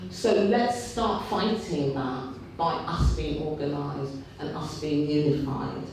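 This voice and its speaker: Guy with a big voice giving a fired-up union speech, kinda high, booming echo from way off the mic. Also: slow pace, far from the mic, a little hiss or hum. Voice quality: big, loud voice. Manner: impassioned, repetitive rhetorical buildup. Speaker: trade union speaker. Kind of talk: rally speech at a union fringe meeting. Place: meeting hall. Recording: very echoey hall, picked up from afar.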